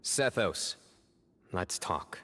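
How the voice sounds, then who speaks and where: A man playing a solemn, resolute young guardian in a video game, speaking in a measured, stoic way. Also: low-pitched, lively intonation, a bit bright, long reverb tail, full character voice.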